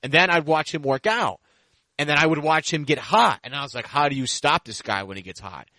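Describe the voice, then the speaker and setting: A man with a bright, forward voice chats casually about sports as a podcast host, often repeating himself for emphasis. The sound is very dry, with no room around it. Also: fairly high pitch, brisk, dry recording.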